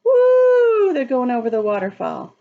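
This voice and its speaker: A woman reading a picture book aloud to children in a teacherly, expressive, sing-song way, explaining and asking questions as she goes. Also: sits high, measured pace, creaky, phone-quality sound. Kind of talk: read-aloud storytime with asides to children.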